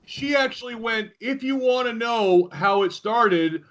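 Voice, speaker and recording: A man's voice: very high voice, animated, measured pace, fairly bright tone, a little hiss or hum, low-quality recording. An American man hosting a podcast interview, telling stories in a rambling, informal, amused way. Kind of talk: casual chat, storytelling.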